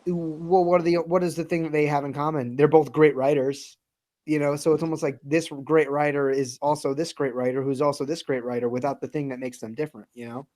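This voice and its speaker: A man's voice: on the high side, fairly even intonation, brisk, warm tone. A man hosting a casual comics chat, talking with enthusiasm, swearing now and then and leaning on fillers. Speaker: podcast host.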